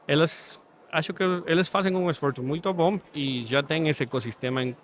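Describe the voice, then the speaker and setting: A man from Guatemala with a Spanish accent, speaking Portuguese as a second language as a podcast guest, measured and thoughtful. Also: a little high, a little hiss or hum. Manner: thoughtful, measured, ends points with "sabe?". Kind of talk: podcast interview. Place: studio setting.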